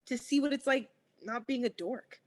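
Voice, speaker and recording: A woman's voice: a little high, normal speed, lightly acted. A woman reading the part of a scheming teenage girl who begs her friend for a favor, at a table read. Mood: pleading.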